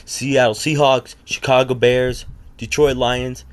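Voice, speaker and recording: A man's voice: medium pitch, level tone, slow. A man casually reacting to videos with rambling, joking asides.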